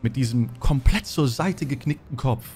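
A male game master guides a tabletop horror session. His voice sits at a middling pitch. He describes and explains deliberately, with soft music underneath. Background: background music.

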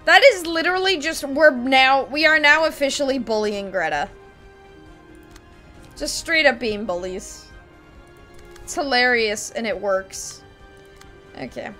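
A woman's voice: sits high, lively intonation, measured pace, long pauses, bright, forceful tone. A young woman streaming a card game at her desk, chatting gleefully with viewers, laughing and swearing casually, her voice a little strained. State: voice slightly strained. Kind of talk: casual gameplay commentary. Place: small room at a gaming desk. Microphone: desk mic, webcam at computer. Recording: game music faintly behind.

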